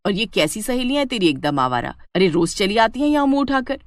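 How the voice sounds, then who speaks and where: A woman voicing a resentful older village mother-in-law with a sharp, accusatory, nagging manner in an animated moral story. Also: mid-range voice, fast, no room sound, clean studio sound, natural voice. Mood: irritated scolding.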